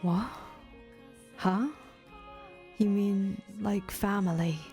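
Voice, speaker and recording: A woman voicing a brooding, guarded man who answers flatly and dryly. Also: mid-range voice, very slow, faint music behind. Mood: puzzled.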